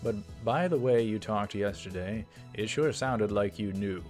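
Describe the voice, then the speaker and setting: A man's voice: on the low side, unhurried, darkish tone, soft background music, close to an everyday voice. A man voicing a stern, self-important young man who makes demands and threats in a visual novel. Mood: probing.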